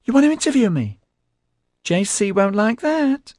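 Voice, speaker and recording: Man putting on a squeaky, very high-pitched, naive young woman. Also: sing-song, normal speed, darkish tone, no echo, studio quality. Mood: bubbly.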